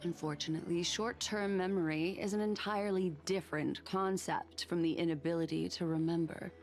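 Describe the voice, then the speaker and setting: An actress playing a composed, scholarly and somewhat mysterious woman in a video game, explaining in measured, formal speech. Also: lowish voice, steady tone, soft background music, close to an everyday voice. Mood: calm, explanatory. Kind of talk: game dialogue voice acting.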